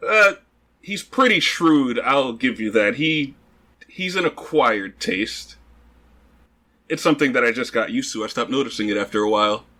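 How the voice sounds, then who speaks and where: A man's voice: medium pitch, animated, moderate pace, bright, forceful tone. A man playing a tabletop roleplaying game, speaking casually and conversationally in character.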